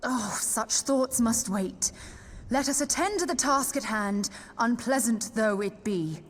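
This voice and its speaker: A woman playing a calm, courteous, mysterious investigator in a fantasy game, speaking in formal archaic, pensive phrases. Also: fairly high pitch, a little slow, slight background noise. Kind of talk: fantasy game cutscene acting. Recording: music under the voice.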